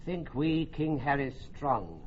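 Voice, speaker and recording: A man's voice: mid-range voice, sing-song, slow. An actor playing a grave, wary French king, stately and solemn, in a classical radio drama. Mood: grave warning. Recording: dull, faintly noisy old radio recording.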